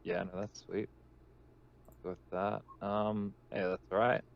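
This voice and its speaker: A man's voice: on the low side, level tone, measured pace, vocal fry, lo-fi sound. A man playing a tabletop roleplaying game, talking casually and tentatively as he asks questions. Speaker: player in a tabletop RPG.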